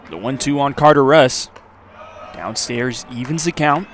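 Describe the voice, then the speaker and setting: A man's voice: medium pitch, a little slow, a faint crowd. Man calling baseball over outdoor noise. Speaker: play-by-play announcer. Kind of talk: live baseball radio commentary. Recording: clear outdoor ambient noise. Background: outdoor ballpark ambience.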